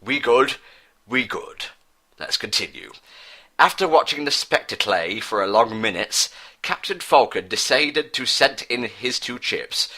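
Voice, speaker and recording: A man's voice: mid-range voice, lively intonation, measured pace, bright, forceful tone. A man reading a story aloud as narrator, in a mock-dramatic style. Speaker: narrator, fan fiction reader.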